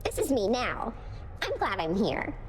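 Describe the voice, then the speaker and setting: A woman's voice: fairly high pitch, wildly swooping pitch, a little slow, heavy background noise. A woman playing a girlish, flustered underworld creature in a video game, gushing with breezy reassurance. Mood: flustered, chirpy. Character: bubbly, anxious-to-please female underworld creature, girlish and flustered. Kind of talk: video game character dialogue.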